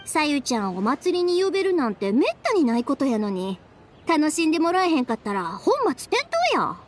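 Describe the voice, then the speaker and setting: A woman's voice: high voice, big pitch swings, racing, a little hiss or hum. A woman playing a friendly, caring young woman with a Kansai accent in a Japanese video game. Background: game music.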